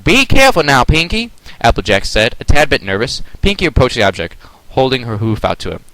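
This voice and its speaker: A man reading his own fan fiction aloud with a sore throat, casual and a little hesitant. His pitch moves around a lot, and the sound is close and dry with no room echo. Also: animated, fast, a bit bright. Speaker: amateur reader. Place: home at night.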